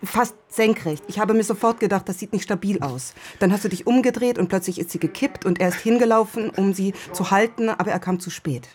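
An actress playing a concerned, firm middle-aged wife in a naturalistic radio play, explaining things in a measured, insistent way. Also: female voice, mid-range voice, a little mellow, close to an everyday voice.

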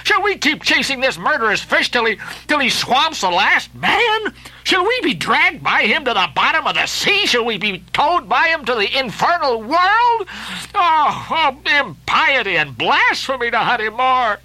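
A man's voice: very high voice, very animated, a little slow, sharp tone, full character voice, low-quality recording. A man voicing a fearful, devout first mate who begs his captain to stop. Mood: pleading. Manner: pleading, anxious outcries.

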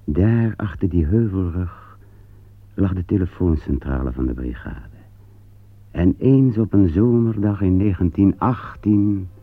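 An actor narrating a war radio play in a grave, measured, pensive manner. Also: male voice, sits low, expressive, no echo. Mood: solemn, pensive. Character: grave male narrator recalling a war front. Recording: tinny old radio play recording, music behind.

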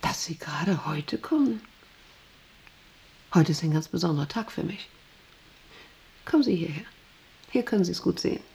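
Casting: commanding, power-obsessed woman factory director, husky low voice, intense radio drama delivery. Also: expressive, moderate pace, long pauses, heavily acted. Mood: proud, brooding. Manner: imperious, intense, swinging between hushed and vehement. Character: proud, volatile female factory boss, obsessed with power. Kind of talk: German radio drama acting.

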